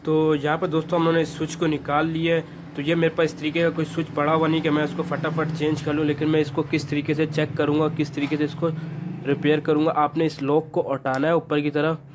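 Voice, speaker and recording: A man presents a hands-on how-to in an informal, instructional manner. His voice sits a little high and stays very even in pitch. He explains each step in turn over faint background noise. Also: quick pace. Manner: instructional, step-by-step, addressing viewers as friends. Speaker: repair tutorial presenter.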